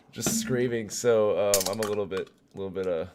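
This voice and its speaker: A young man with a hoarse voice, worn raspy from shouting, talks loosely to his viewers as he streams. He rambles in a relaxed way, full of ums and likes, and stops now and then to greet people by name. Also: on the low side, normal speed, noisy background. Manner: loose and chatty, many fillers, greets viewers. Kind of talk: casual gaming livestream chat.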